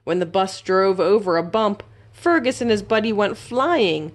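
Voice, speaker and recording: A woman reading a children's story aloud as a library storyteller, in an expressive, simple storytelling manner. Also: mid-range voice, a little slow.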